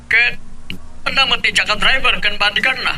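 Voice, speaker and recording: A man's voice: very high voice, fairly even intonation, moderate pace, sharp tone, a little hiss or hum, full character voice. A man acting a stern, questioning father and company boss in an Ilocano radio drama, with an Ilocano accent.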